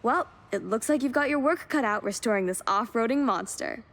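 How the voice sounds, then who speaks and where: Enthusiastic high-voiced car hunter. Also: female voice, lively intonation, fairly quick, fairly bright tone. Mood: admiring, friendly. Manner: enthusiastic, admiring. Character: keen young woman car hunter finding lost classics. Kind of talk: scripted video game dialogue.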